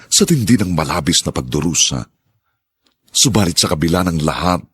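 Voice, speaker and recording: Low-pitched man, husky, hugely swooping intonation, solemn radio drama narration, theatrical announcer. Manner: solemn, drawn-out theatrical phrasing.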